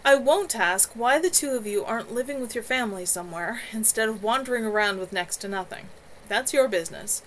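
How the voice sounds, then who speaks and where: A woman's voice: a little high, expressive, fairly quick, slight background noise. A woman voicing a kindly, motherly witch who welcomes guests firmly and brooks no argument. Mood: brisk, kindly. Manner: warm but firm, brooks no argument.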